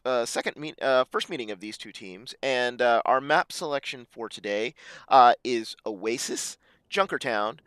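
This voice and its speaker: A man with a slightly raspy voice, previewing an esports match as commentator, halting with frequent fillers. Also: mid-range voice, expressive, fairly bright tone, no echo.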